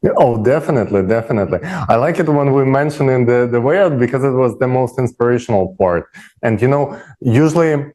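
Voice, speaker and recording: A man with a soft-edged, mellow voice talks as a panelist on a livestream. His delivery is informal and emphatic, and he often doubles back on words in a conversational way. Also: mid-range voice, fairly quick. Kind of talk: casual enthusiastic discussion.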